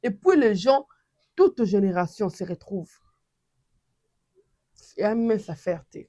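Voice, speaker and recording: Animated Congolese woman commentator, dry sound. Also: mid-range voice, slow pace, dry recording. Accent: Congolese French. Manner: animated, many restarts, mixes French and Lingala. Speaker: channel host and commentator. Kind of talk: casual commentary to camera.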